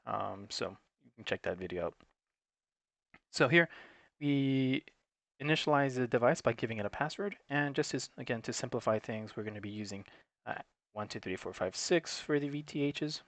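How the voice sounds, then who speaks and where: A man's voice: medium pitch, normal speed, gravelly, fairly bright tone, no echo. A man giving an unscripted, matter-of-fact, step-by-step tutorial in an instructional way. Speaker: technical support presenter.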